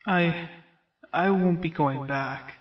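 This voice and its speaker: Weary skeleton brother played by a man, dry and hesitant, unhurried delivery, muffled comic-dub recording. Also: on the high side, distant mic. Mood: sad, reluctant. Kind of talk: comic dub character acting.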